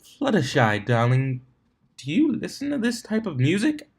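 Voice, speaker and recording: Man acting female unicorn pony, ladylike, affected, fussy, polite, higher-pitched, storybook character. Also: wildly swooping pitch, normal speed, breathy edge, full character voice. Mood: curious.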